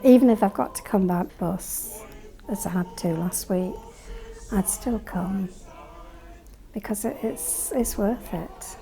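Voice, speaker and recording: A woman's voice: mid-range voice, slow, gravelly, dark tone, faint music behind, rough recording. An older woman sharing heartfelt, thoughtful testimony about joining a singing group. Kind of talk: reflective interview testimony.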